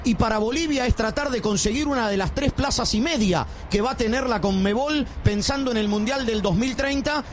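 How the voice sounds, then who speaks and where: A man's voice: very high-pitched, a little fast, a little hiss or hum. A man reporting live for a football broadcast.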